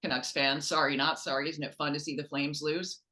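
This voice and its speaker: A woman chatting casually about sports as a podcast guest, excitable and joking as she tells stories. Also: sits low, brisk. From Canada. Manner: excitable, animated storytelling, joking.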